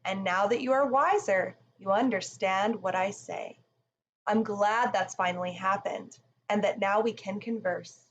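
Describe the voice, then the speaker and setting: A woman's voice: medium pitch, measured pace, lightly acted. A woman voicing a friendly, curious female pet puppy in a read-aloud storybook. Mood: eager. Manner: storybook character voice. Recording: muffled with faint background noise.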